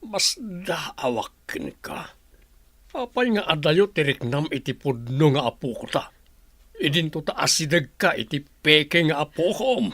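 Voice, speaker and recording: Actor as bossy, scornful higher-voiced man. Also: lively intonation, a little slow, a bit bright, dry recording. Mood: needling. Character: bossy, scornful male employer of the household. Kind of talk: Filipino radio drama acting.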